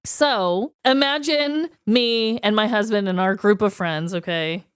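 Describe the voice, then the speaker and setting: A woman's voice: medium pitch, measured pace, clean tone, no room sound, studio quality. A woman podcast co-host telling stories casually for laughs, dramatic and emphatic, often repeating words for effect. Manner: dramatic, emphatic storyteller who repeats words and checks in with "okay?". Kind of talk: casual comic storytelling.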